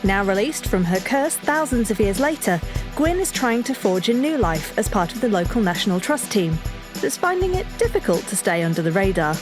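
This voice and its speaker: A woman's voice: medium pitch, sing-song, a little fast, music in the background. A woman reading a scripted character introduction as a player in a roleplaying show.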